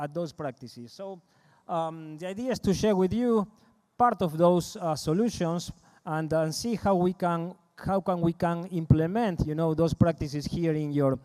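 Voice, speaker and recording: A man in his fifties with an Argentine Spanish accent speaks at a conference, his voice mellow, smooth and slightly high. He talks in a halting, friendly way, restarting phrases and repeating words. He is heard through the PA of a large hall. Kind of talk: conference presentation.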